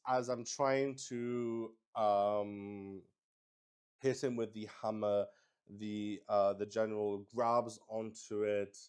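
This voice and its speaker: Slow-paced, clear-voiced man thinking aloud. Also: fairly low pitch, a little mellow. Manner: halting, thinking aloud, talking to himself. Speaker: host and player of a solo tabletop roleplaying game. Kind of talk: casual improvised play-by-play of a solo tabletop game.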